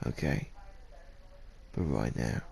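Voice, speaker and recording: A man voicing a devoted male dragon lover in an intimate ASMR roleplay, tender and sorrowful, with a deep gravelly, husky voice. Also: slow pace, mellow, full character voice. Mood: gently pleading. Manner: tender, earnest, pleading.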